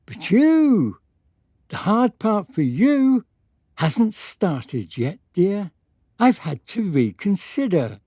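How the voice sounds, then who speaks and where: Stern, evasive noble patriarch played by a woman, radio drama; mellow, unhurried, formal delivery with wide swings of pitch. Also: very animated, no room sound, clean studio sound. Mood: evasive, regretful. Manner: measured, hesitant, patronizing, formal. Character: stern, evasive aristocratic father, a Venetian lord. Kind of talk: period radio drama acting.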